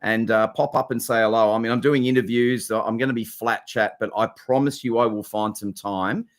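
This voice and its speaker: A man's voice: mid-range voice, steady tone, fairly quick. A man with an Australian accent, co-hosting a casual live-stream chat in a friendly, upbeat way with plenty of restarts. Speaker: co-host.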